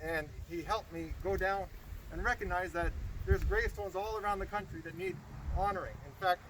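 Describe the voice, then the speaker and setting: A somewhat high-voiced man speaks earnestly to an outdoor gathering, telling his story with gratitude. Noise around him fills the open-air recording. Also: moderate pace, a bit bright, low-quality recording. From Estonia. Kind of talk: outdoor public speech, personal story. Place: outdoors by a river.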